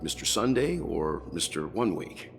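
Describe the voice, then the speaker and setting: An actor playing a composed, kindly mature gentleman in a game cutscene, polite and measured. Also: low-pitched, very animated, vocal fry, heavily acted. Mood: politely curious. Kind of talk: scripted game cutscene dialogue. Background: music.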